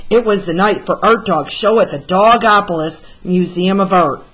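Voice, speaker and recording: Woman, children's read-aloud, unhurried, slightly husky, expressive emphasis, muffled recording. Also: medium pitch. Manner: deliberate, with animated emphasis for young listeners. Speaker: art teacher reading a picture book aloud.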